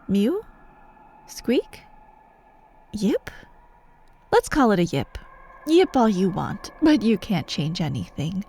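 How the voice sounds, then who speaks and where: A woman playing a sadistic, gleeful sorceress in an ASMR roleplay, cooing mockingly at a captive pet in a breathy voice that often slips toward a whisper. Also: on the high side, exaggerated voice. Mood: gloating, cooing. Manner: mocking baby-talk cooing, drawn-out words, breathy asides. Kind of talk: ASMR roleplay monologue addressed to the listener.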